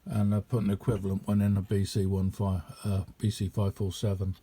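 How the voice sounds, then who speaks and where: Man, very mellow dark tone, husky, lowish voice, hesitant, casual rambling demo. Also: flat delivery. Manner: hesitant, unhurried, chatty. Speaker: radio hobbyist. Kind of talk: casual hands-on demonstration, rambling.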